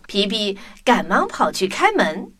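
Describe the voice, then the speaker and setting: A woman narrating a scripted children's story in an expressive storytelling manner. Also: mid-range voice, a little slow, background noise. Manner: expressive storytelling with sound imitations. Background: music.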